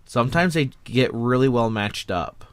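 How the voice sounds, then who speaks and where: A man's voice: medium pitch, animated, moderate pace, fairly bright tone, smooth, no echo. A man hosting a casual online panel chat in a joking, rambling way. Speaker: host of an online panel discussion.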